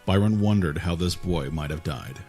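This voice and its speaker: A man reading a fantasy story aloud in a calm, even way, with a husky voice. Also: low voice, normal speed, faint music behind. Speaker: narrator. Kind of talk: storybook narration.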